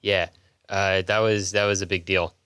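A man's voice: low voice, flat delivery, normal speed, fairly bright tone, clear, resonant tone, dry recording, clean studio sound. A man co-hosting a podcast in casual film discussion. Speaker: podcast host.

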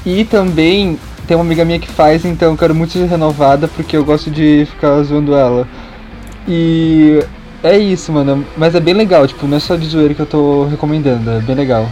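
A man's voice: a little high, steady tone, fairly quick, warm tone, faint music behind. A young man with a Brazilian accent chatting casually and slangily as a podcast panelist. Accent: Brazilian Portuguese. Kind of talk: casual chat.

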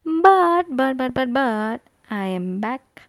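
A woman's voice: high-pitched, sing-song, slow, dry recording, clean studio sound. A woman hosting a casual solo podcast, chatting animatedly about a book with frequent fillers and asides. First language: not Korean. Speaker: podcast host.

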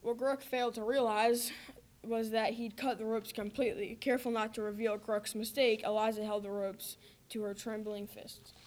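A woman's voice: on the high side, little pitch movement, normal speed, darkish tone, slight background noise. A woman narrating an amateur radio drama in a plain storybook manner.

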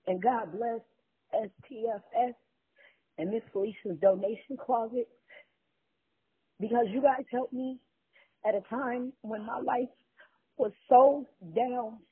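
A woman's voice: on the high side, fairly even intonation, unhurried, pauses often, husky. A woman crying as she leaves a grateful thank-you message, speaking in a tearful, heartfelt way. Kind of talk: emotional recorded voice message. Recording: tinny phone-line recording.

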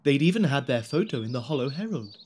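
A man playing the storyteller of a dark animal audio drama, narrating in a measured, theatrical way over music. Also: medium pitch, big pitch swings, slight background noise, close to an everyday voice. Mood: ominous. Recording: music clearly behind.

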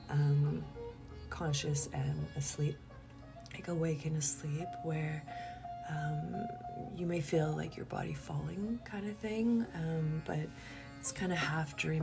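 Low, creaky-voiced woman rambling candidly. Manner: candid, rambling, giggly, often trailing into breathy murmurs. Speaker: podcast host. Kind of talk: casual confessional solo podcast.

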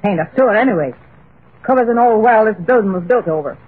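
A woman acting a bossy, folksy old mother-in-law with a husky voice in an old radio play. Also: on the high side, expressive, quick pace. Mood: irritable. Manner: folksy, scolding. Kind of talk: 1930s-40s radio horror acting. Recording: tinny old radio recording.

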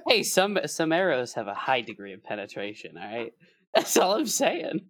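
A man's voice: mid-range voice, normal speed. A man co-hosting a podcast in casual comedic chat, making bawdy jokes and laughing often. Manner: animated, bawdy joker who laughs often. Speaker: podcast co-host.

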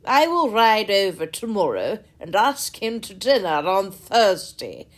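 A woman voicing a hearty, jovial older country gentleman who talks loud, booming and slow. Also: sing-song.

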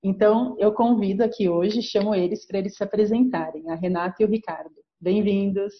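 A woman's voice: medium pitch, fairly even intonation, fairly quick, lo-fi sound. A woman with a Brazilian Portuguese accent, an astrologer hosting a podcast, explaining her subject step by step in a teaching manner. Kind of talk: podcast lesson.

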